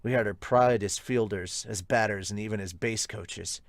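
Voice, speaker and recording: A man's voice: on the low side, moderate pace, no echo, voice pushed down, lightly acted. A man voicing a determined, proud young male baseball player in an earnest, intense manner for a manga dub. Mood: grim resolve.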